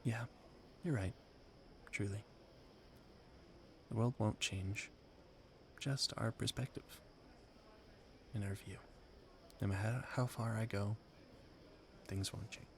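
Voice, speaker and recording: A man playing an earnest, dreamy young gentleman inventor in a romantic audio roleplay, formal and wistful in manner. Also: lowish voice, steady tone, slow, long pauses, a little hiss or hum. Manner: wistful, formal, flustered stammering when startled.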